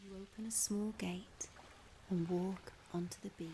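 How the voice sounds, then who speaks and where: A woman narrating a scripted guided imagery meditation in a soft, soothing, breathy voice, gently instructing with long pauses. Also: mid-range voice, fairly even intonation. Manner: unhurried, with long pauses between phrases. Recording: clear background ambience noise.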